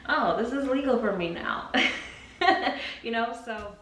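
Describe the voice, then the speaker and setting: A woman's voice: on the high side, unhurried, distant mic, soft background music. A woman in her mid twenties chatting casually and candidly to camera, slangy and informal, slipping into little dramatized asides. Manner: animated, dramatizing her own thoughts in little acted asides. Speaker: vlogger. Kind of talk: casual chit-chat to camera. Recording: roomy echo, off-mic, faint background noise.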